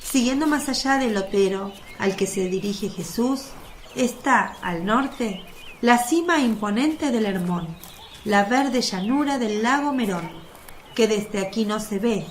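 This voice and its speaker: A woman's voice: mid-range voice, animated, unhurried, a little hiss or hum. A woman reading scripted narration for a religious radio drama.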